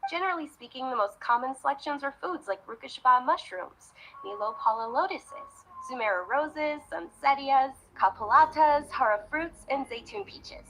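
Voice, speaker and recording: A woman playing a gentle, earnest young noblewoman in a video game, soft and breathy, politely explaining things. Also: high-pitched, lively intonation, normal speed, hardly any pauses, a little hiss or hum, rough recording. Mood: warm, explaining.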